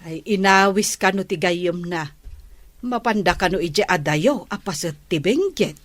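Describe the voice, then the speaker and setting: A woman's voice: lowish voice, lively intonation, unhurried, no echo. A woman with an Ilocano accent playing a nagging, plain-spoken village wife in a radio comedy drama. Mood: curious, eager.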